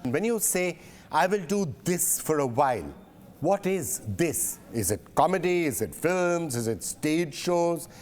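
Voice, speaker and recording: A man's voice: on the high side, lively intonation, unhurried. A man hosting an interview, asking probing questions and often repeating phrases as he builds them. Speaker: interviewer, news show host.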